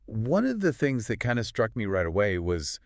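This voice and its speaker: A man's voice: fairly low pitch, big pitch swings, normal speed, no echo, studio quality. A man co-hosting a podcast, chatting casually and informally about books in a conversational, agreeing way. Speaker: podcast co-host. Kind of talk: casual book discussion chat.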